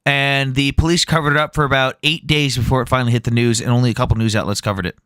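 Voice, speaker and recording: A man's voice: mid-range voice, steady tone, very fast, a bit bright, smooth, no echo. A man hosting a live stream, chatting casually and bluntly, with frequent swearing. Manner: blunt, profane, offhand.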